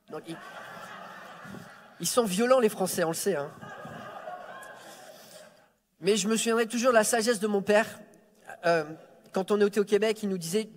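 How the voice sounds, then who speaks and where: A man, preaching a Sunday sermon as a guest, joking about himself and often repeating words as he restarts. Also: high-pitched. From France. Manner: warm self-deprecating humor, frequent stammered repeats. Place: church sanctuary. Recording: reverberant church hall.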